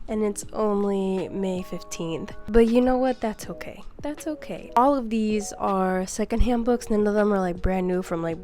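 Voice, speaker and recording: A woman's voice: mid-range voice, darkish tone. A young woman with a congested, stuffy voice from a cold, chatting in a rambling, giggly way close to the mic. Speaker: book vlogger. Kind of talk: casual chatty book haul.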